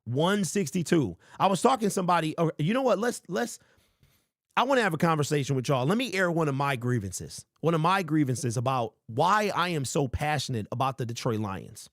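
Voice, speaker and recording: A man in his forties hosting a casual sports talk show, reading viewer comments and rambling with lively emphasis. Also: a little high, brisk, no room sound, studio quality. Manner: animated, rambling, calls listeners bro. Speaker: sports podcast host.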